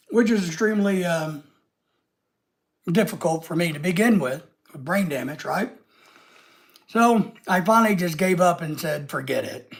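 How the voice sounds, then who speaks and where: A man's voice: high voice, creaky. A man hosting a casual live stream with a head cold, rambling and reading out viewer comments.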